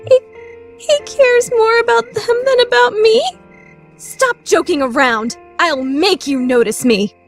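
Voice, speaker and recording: Woman acting a haughty high-pitched scheming schoolgirl. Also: a little slow, soft background music. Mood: flustered. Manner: theatrical, shifting between haughty plotting and flustered outbursts. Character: proud, scheming young lady student, haughty yet easily flustered. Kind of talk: anime-style fan dub radio play acting.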